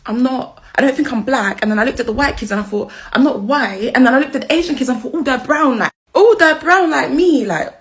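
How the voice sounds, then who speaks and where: A woman's voice: high-pitched, fast talker, few pauses, fairly bright tone. A young woman with a British accent telling a casual story, chatty and slangy, acting out bits of dialogue. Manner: chatty, slangy, self-mocking. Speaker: video creator.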